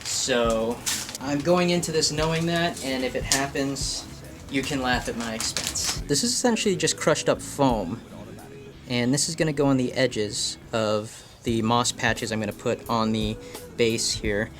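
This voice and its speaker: Casual man hosting a craft vlog, music behind. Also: medium pitch. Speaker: host of a craft and painting video. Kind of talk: casual chat, explaining a hobby project.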